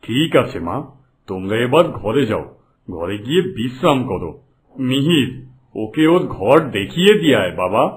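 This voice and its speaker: A man playing a gentle, middle-aged, paternal king in a fairy-tale cartoon. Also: mid-range voice, big pitch swings, moderate pace, natural voice. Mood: fatherly. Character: kindly fatherly old-world king welcoming his family. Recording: clearly noisy background.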